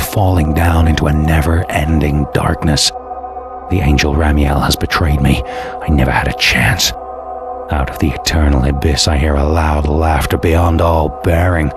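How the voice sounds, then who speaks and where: Super deep brooding game antihero, damned and bitter, dramatic narration over loud music. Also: male voice. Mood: bitter despair. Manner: brooding, intense, theatrical. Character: damned, vengeful male antihero, bitter and doomed, recounting his fate. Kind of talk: dramatic first-person game cutscene narration.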